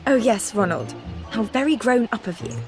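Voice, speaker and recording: A woman's voice: fairly high pitch, moderate pace, over music, lightly acted. An actress playing a bossy, sensible teenage witch with a British accent and a prim, scolding manner in a video game. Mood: dry, prim. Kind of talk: video game cutscene acting.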